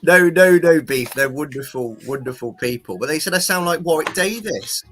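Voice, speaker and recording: A man's voice: fairly high pitch, lively intonation, moderate pace, crowd noise. A man co-hosting a livestream chat, joking and self-deprecating. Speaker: co-host.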